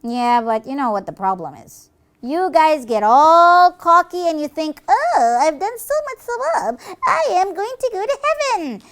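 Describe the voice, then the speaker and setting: A cocky little devil minion from a puppet show, acted out by a woman, high and wildly swooping in pitch. It taunts and brags, dismissive of everyone, stretching out its words with relish. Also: lively intonation, a little slow, a bit bright, full character voice. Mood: mocking. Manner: mocking, dismissive, gleefully boastful.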